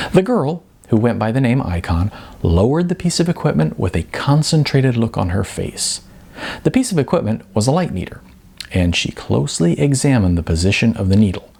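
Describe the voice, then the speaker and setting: A man reading a novel as its author, in expressive audiobook narration with deliberate, emphatic phrasing. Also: medium pitch, creaky, breathy edge.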